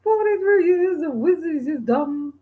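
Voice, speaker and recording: A woman in her forties hosting a livestream, chatting casually with viewers, laid-back and quick to laugh. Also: very high voice, expressive, phone-quality sound. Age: 40s. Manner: laid-back, laughs often, sings bits. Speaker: livestream host. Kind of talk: casual chat reading viewer comments.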